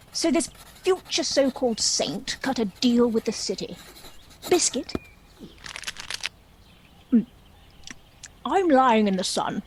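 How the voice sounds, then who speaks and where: A woman's voice: fairly high pitch, a little mellow, slight background noise. A woman playing a chatty, self-pitying dragon who tells its own story in a wry, theatrical comic stage monologue. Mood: wry, droll. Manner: wry, theatrical, measured storytelling with arch asides.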